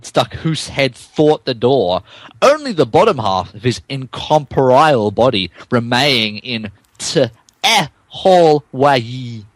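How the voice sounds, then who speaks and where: Male podcast narrator, lively swings in pitch, mock-dramatic, stumbling comic read, dry close sound. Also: slow pace, dry recording. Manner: mock-dramatic, often stumbles over words. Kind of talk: comic dramatic reading and casual banter.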